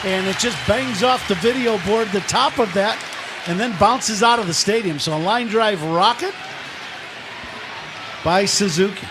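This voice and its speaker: Male radio analyst for live baseball, high-pitched, talking conversationally through clear background noise. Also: measured pace, crowd noise. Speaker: baseball radio color commentator. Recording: noisy ballpark broadcast.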